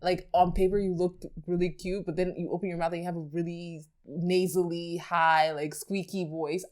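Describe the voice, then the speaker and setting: A woman's voice: fairly low pitch, level tone, moderate pace, darkish tone. A young adult woman co-hosting a casual podcast chat, chatty and giggly, with lots of fillers. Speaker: podcast co-host.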